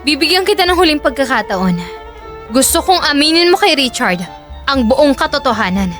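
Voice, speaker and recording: A woman performs a vengeful female blackmailer in an audio drama, in a very high voice. She taunts and threatens coldly, with lively swoops in her lines. Faint music sits behind her. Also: moderate pace. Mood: cold demanding. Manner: taunting, menacing questions. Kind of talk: Filipino audio drama acting.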